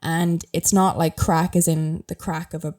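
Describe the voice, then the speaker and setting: Young irish woman, mild accent, bit sick and coughy, mellow lowish voice, chatty podcast host. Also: little pitch movement, moderate pace. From Ireland. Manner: chatty, laughs often, rambling with fillers. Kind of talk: casual explanatory chat.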